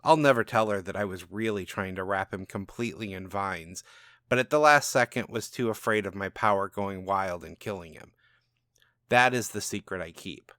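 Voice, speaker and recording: Rogue mage for storybook narration, male reader: cocky, powerful, conspiratorial, on the low side. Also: normal speed, fairly bright tone, no echo, studio quality. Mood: smug, bitter. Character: cocky, irreverent, powerful rogue wizard named Frankie. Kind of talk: first-person storybook narration.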